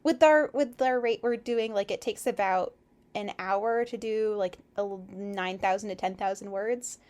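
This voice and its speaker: A woman hosting a casual livestream read-aloud, chatting and reading in an expressive, effusive, giggly way. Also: mid-range voice, moderate pace. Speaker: livestream host reading fan fiction aloud.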